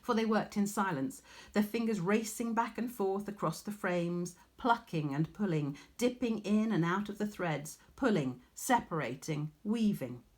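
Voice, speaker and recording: A woman's voice: medium pitch, animated, unhurried, a little mellow. A woman with an English accent reading a story expressively to children, as a teacher would.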